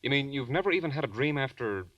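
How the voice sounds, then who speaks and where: A man plays an incredulous, bantering buddy in a 1940s radio play. His mid-pitched voice swings widely with emphatic disbelief. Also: animated, fast talker, no room sound, highs cut off, close to an everyday voice. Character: incredulous, bantering male friend of the accused.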